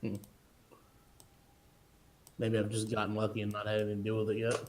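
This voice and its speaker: A man's voice: fairly low pitch, monotone, fairly quick, a little hiss or hum. A man talking casually as a player in a tabletop game session. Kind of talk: casual chat.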